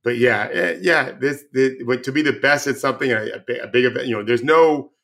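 A man who coaches basketball, co-hosting a sports podcast and giving blunt, loud opinions in a casual way. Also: mid-range voice, fairly quick.

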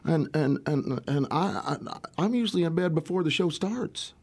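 A middle-aged man, a musician guest, talking casually in a rambling way, his voice worn and raspy at the end of a tour. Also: on the high side, animated, moderate pace, a little mellow. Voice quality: gravelly. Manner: rambling, restarts often, swears casually. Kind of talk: casual interview chat.

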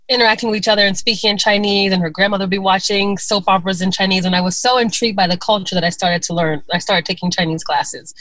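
A woman's voice: medium pitch, a bit bright, no room sound, rough recording. A woman in her forties from Chicago, answering interview questions in a reflective, storytelling way. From Chicago, USA. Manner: reflective, storytelling, light laughs. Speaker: podcast guest.

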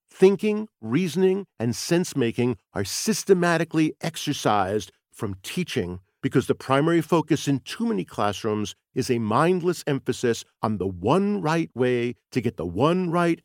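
A man reading his own nonfiction audiobook as its author, with emphatic, deliberate phrasing. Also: mid-range voice, darkish tone, no echo, clean studio sound. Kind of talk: audiobook narration.

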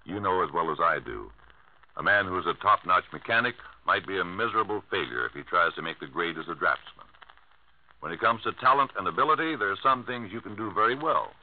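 American man, vintage radio announcer, very low voice, sweeping intonation, solemn, declamatory, muffled broadcast. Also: animated, fairly quick. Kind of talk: 1950s radio public-service oratory.

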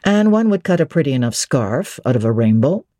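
A woman voicing a brooding, teasing middle-aged gentleman, commanding and whimsical, in a dramatic audiobook reading of a classic novel. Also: rumbling low, big pitch swings, a little fast, no room sound, studio quality, exaggerated voice. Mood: playfully fanciful. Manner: whimsical, authoritative, theatrical.

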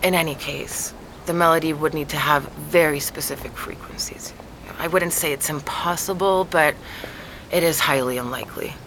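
An actress playing a calm woman neurologist who explains things patiently and weighs the evidence, in an audio drama. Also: low-pitched, normal speed, vocal fry, a little hiss or hum, poor audio. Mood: explaining.

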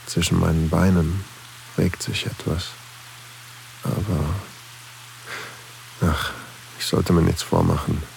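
A man's voice: sits low, level tone, slow, creaky, pauses often, a little mellow, slight background noise, exaggerated voice, rough recording. A man acting a flirtatious single father in an intimate erotic audio drama, teasing and a little hesitant, narrating his own thoughts. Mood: aroused, musing.

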